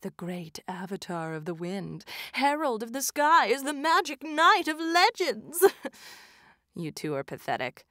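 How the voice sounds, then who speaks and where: A woman's voice: fairly high pitch, sing-song, unhurried, sharp tone, no room sound, clean studio sound, heavily acted. A woman voicing an arrogant female henchwoman who serves a feared mistress. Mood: sneering. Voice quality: sneering, then stammering. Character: arrogant female henchwoman, loyal but nervous before her mistress.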